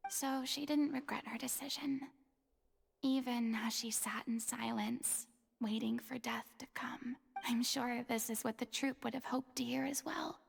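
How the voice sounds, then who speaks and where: A woman voicing a gentle, thoughtful young female adventurer in a game, speaking softly and breathily. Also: fairly high pitch, fairly even intonation, measured pace, gravelly, breathy edge, exaggerated voice. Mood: solemn. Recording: reverberant game audio.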